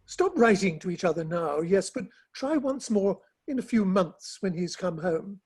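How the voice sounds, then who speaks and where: An actress as a level-headed Victorian confidante in a dramatic reading. The voice is husky and of middling pitch. The manner is courteous and measured, curious and quietly probing. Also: female voice, animated. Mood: sensible, probing. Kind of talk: online dramatic play reading.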